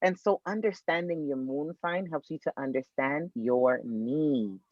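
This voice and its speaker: A man from Miami, Florida, an astrologer guest on a podcast, explaining birth charts in an energetic, teacherly way and repeating his key points. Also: fairly high pitch, measured pace, no room sound. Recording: tinny dry phone-like line.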